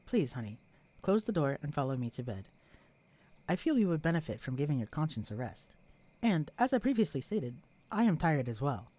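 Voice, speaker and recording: A man's voice: on the high side, very animated, brisk, mellow. A man voicing a stiff, formal alien posing as a husband, with stilted, pompous, overly proper diction in a scripted audio roleplay. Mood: indignant, insistent.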